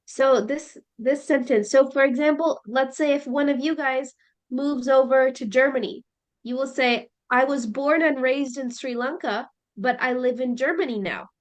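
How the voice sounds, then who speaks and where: A woman teacher leading a listening lesson, explaining slowly and checking in with her students. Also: high voice. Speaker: English teacher.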